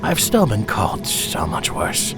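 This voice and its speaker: Man acting a lively, easygoing space crewman. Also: on the low side, a little slow, music in the background. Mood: wry. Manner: laid-back, wry, friendly. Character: easygoing, good-natured male spaceship crewman. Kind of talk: sci-fi audio drama acting.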